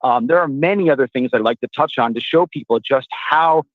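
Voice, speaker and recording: A man from Italy, a guest speaking in a measured, slightly halting way. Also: mid-range voice, dry recording, narrow, tinny audio. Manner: measured, a bit halting, repeats words. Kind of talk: casual chat.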